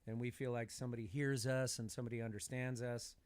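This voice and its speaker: A man's voice: mid-range voice, level tone, fast talker, darkish tone, dry recording. A man chatting casually as a podcast guest, earnest and conversational, piling up points as he goes. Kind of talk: casual chat.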